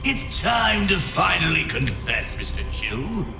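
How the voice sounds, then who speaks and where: A sadistic clown villain for a comic dub, drawling with theatrical relish. The voice is gravelly and taunting, echoing over loud music. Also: male voice, fairly high pitch, sing-song, takes it slowly, exaggerated voice. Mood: gleeful menace. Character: Joker, gleeful sadistic male clown villain. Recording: echoey, with loud music behind.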